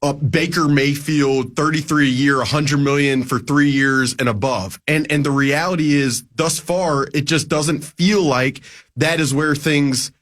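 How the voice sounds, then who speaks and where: A man's voice: fairly high pitch, steady tone, a little slow, a bit bright. A man in his twenties talking casually as a sports reporter guest on a radio show, rambling with lots of fillers and restarts. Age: 20s.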